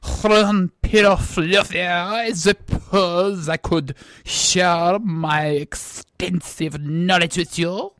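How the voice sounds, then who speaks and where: Pompous French servant ghost, exaggerated accent, slow delivery, easily offended, dry close recording, improvised comedy. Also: mid-range voice, fairly even intonation, fairly bright tone, no room sound. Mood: boastful, storytelling. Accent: put-on French. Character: haughty, touchy ghost of French servant speaking through medium. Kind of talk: improvised comic character in dialogue.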